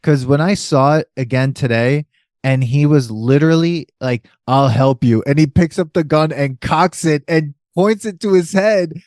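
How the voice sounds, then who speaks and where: A man co-hosting a comedy podcast, chatting excitedly about an old film and trailing off mid-thought. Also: a little high, normal speed, no echo, clean studio sound. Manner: excited, rambling, trails off and restarts. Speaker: podcast co-host. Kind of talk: casual comedic movie chat.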